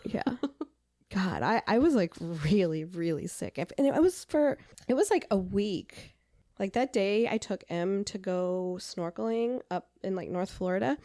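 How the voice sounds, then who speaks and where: A young adult woman co-hosting a podcast in casual chat, her voice hoarse and raspy from illness, with a dry, deadpan delivery. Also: mid-range voice, a little slow, no room sound. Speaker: podcast co-host.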